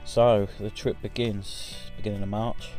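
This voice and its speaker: A man's voice: fairly low pitch, flat delivery, unhurried, mellow, soft background music. A man in his forties giving a casual, rambling voice-over for his driving footage, with many ums and restarts. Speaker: vlogger.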